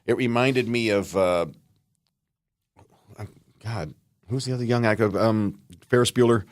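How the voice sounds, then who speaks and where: A middle-aged man with a deep voice, chatting as a podcast guest, rambling with many pauses and restarts. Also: dry recording. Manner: rambling, halting, lots of ums and restarts. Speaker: guest, actor and comedian. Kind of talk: casual chat.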